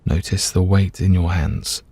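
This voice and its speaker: Deep, husky man guiding a meditation. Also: measured pace, no echo. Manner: gentle, calm, soothing, hushed at phrase ends. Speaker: meditation guide. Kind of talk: guided meditation, scripted narration.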